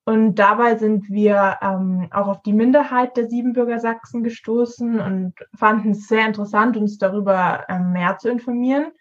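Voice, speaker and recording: A woman's voice: mid-range voice, steady tone, normal speed, few pauses. Young woman, dull lo-fi sound. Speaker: student podcast co-host. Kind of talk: prepared explanatory podcast talk. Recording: dull lo-fi podcast audio.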